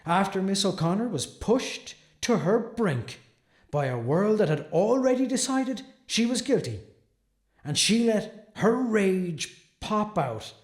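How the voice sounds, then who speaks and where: A man's voice: high voice, sing-song, takes it slowly, echoey room, heavily acted. A man playing an earnest, protective defense attorney in an audio drama. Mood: reflective, impassioned. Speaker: actor playing a defense lawyer. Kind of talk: dramatic courtroom monologue and dialogue.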